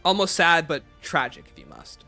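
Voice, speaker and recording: A man's voice: on the high side, normal speed, creaky, bright, forceful tone, faint music behind. A man hosting a livestream, chatting casually as he takes viewer questions and answers them offhand. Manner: reads questions aloud, then answers offhand. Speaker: livestream host.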